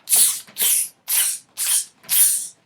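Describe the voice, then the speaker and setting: Man doing hissing, mischievous storybook monkeys. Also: extremely slow. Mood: teasing. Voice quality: voiceless hissing tss-tss sounds. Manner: repetitive, teasing mimicry. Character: mischievous mocking monkeys chattering in the tree.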